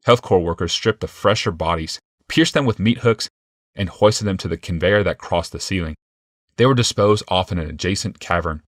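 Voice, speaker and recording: A man narrates a fantasy novel in a low voice. The recording is close and dry, in a scripted storytelling style. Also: a bit bright, no echo. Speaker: audiobook narrator.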